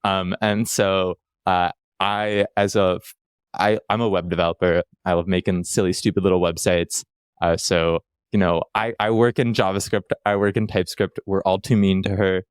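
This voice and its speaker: A man from Canada with a low voice, talking loosely on a casual podcast. He rambles and jokes at his own expense. The sound is close and dry, with no room at all. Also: flat delivery, moderate pace, clear, resonant tone, dry recording. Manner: rambling, self-deprecating jokes. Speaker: podcast guest.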